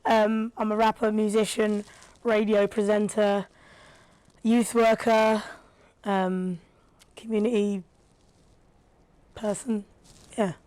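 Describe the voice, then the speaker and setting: A woman, a rapper and radio presenter, talking casually as a guest with a slightly raspy, tired voice and lots of fillers. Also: medium pitch, level tone, takes it slowly, pauses often, darkish tone, no room sound. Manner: chatty, thoughtful, with frequent fillers. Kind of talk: casual podcast conversation.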